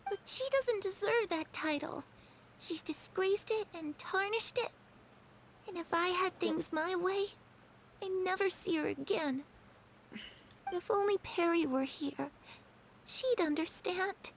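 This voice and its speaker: An actress voicing a lonely, wistful young girl in a game cutscene, confiding softly and dreamily. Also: very high voice, slow, pauses often, slight background noise, heavily acted. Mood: bitter.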